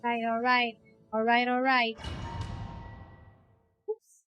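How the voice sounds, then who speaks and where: A woman's voice: on the high side, level tone, slow pace, dull audio. A woman with a Filipino accent giving casual live gameplay commentary, exclaiming and thinking aloud as she mixes Tagalog and English. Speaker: game streamer. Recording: game music behind the voice. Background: video game music.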